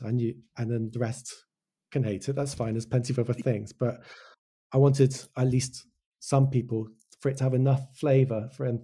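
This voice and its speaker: An English-accented man with a soft, dark tone and a slightly rough edge talks casually as an interviewed creator. He circles back and restarts his thoughts, speaking with conviction about his own work. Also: medium pitch. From Wales. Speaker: animated show creator, interviewee. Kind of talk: casual interview answers, reflective.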